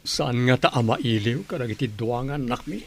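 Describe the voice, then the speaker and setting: A man's voice: medium pitch, a little fast, natural voice. A man playing a downhearted grown son who pines for his wife, speaking in a subdued, sorrowful way in a radio drama. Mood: subdued, sad.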